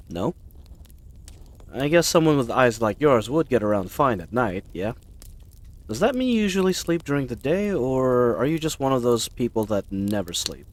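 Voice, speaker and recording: Casting: male actor as kind-hearted, babbling knight for audio roleplay; mid-pitched, very lively intonation, awkward, earnest delivery. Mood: gentle, inviting. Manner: rambling, hesitant, awkwardly earnest. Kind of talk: character roleplay audio drama, addressed to listener.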